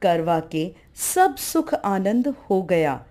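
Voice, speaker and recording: A woman's voice: medium pitch, sing-song, very slow, dark tone. A woman narrating a devotional folk tale as a storyteller.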